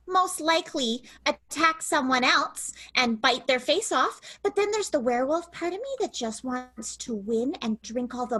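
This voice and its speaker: A woman's voice: high-pitched, a bit bright. A woman playing a chatty, conflicted female werewolf fighter in an improvised comic radio play, candid and hesitant.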